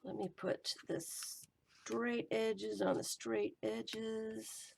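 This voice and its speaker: Woman muttering while she glues paper, slow and gravelly, voice going, noisy mic with clicks. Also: on the high side, level tone, a little mellow. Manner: muttering to herself as she works, unhurried. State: voice giving out, hoarse. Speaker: collage journaling vlogger. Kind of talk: casual running commentary while crafting.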